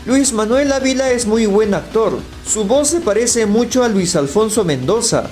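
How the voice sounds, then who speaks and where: Male video critic, high voice, scripted opinionated review over faint music. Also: lively intonation, normal speed, darkish tone.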